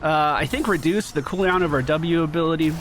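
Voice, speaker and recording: A man's voice: a little high, a little fast, slight background noise. A young man with a slightly nasal voice from a cold, giving casual, swearing commentary over his own gameplay. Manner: casual, swears, excitable bursts when plays land. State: getting a cold. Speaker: gaming YouTuber.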